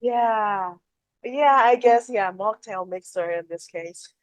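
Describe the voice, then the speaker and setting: A woman, talking as a guest on a casual podcast. She explains things with chatty lists. Her voice sits at a middle pitch and sounds dry and close, with little room sound. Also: slow pace, no room sound. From Indonesia.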